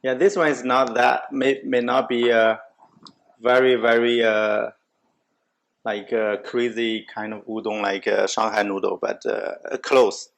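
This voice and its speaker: Male host, gravelly, halting delivery, casual meal chat. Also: mid-range voice, flat delivery. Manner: halting, restarts often. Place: restaurant table.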